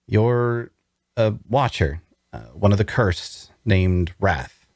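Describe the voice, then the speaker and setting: A man's voice: lowish voice, lively intonation, takes it slowly, a little mellow, no room sound, studio quality. A man playing a wounded, bookish prisoner in an audio drama table read, wary and inquisitive, with a hesitant, questioning delivery. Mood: guarded.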